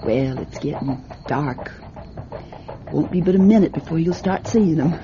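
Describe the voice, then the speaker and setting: A woman playing a gentle, indulgent farm mother in a radio drama. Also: low voice, a little slow. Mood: gentle wonder. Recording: dull, noisy radio recording with crickets.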